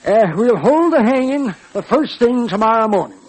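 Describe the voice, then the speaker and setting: Frontier judge: high-pitched, swooping, hemming, offhand and callous, vintage radio drama. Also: male voice, animated, noisy background. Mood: pompous, offhand. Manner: hemming, drawn-out. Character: doddering, matter-of-fact frontier judge, casually callous. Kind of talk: old-time radio western acting.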